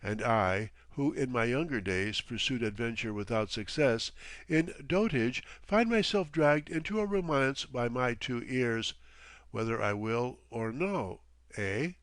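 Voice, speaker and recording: A man voicing a genial, theatrical elderly gentleman with a courtly, florid, playfully pompous manner in an audiobook story. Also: mid-range voice, animated, unhurried, no room sound. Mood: fond, musing.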